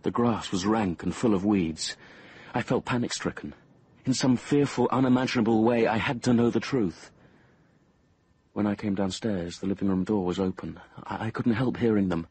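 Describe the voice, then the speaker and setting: A man's voice: on the low side, normal speed, a little mellow, breathy edge, no room sound. An actor playing a troubled, anxious young man, narrating and acting in a radio drama. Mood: uneasy, haunted.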